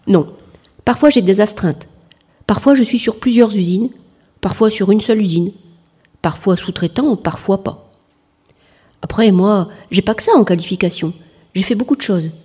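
A woman's voice: medium pitch, moderate pace, pauses often, warm tone, slightly rough. A woman in her late twenties giving plain interview testimony in short sentences. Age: late 20s. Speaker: interviewee, industrial technician returning to school.

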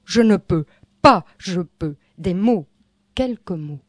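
A woman performing spoken-word poetry in an incantatory, repetitive way, swinging between hushed and forceful delivery. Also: on the low side, animated, slow, dry recording, clean studio sound. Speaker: poet.

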